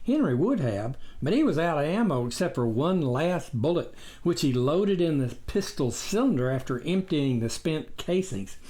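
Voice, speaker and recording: A man reading a story as scripted narration, in a deliberate, expressive audiobook style. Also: fairly high pitch. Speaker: author reading own memoir.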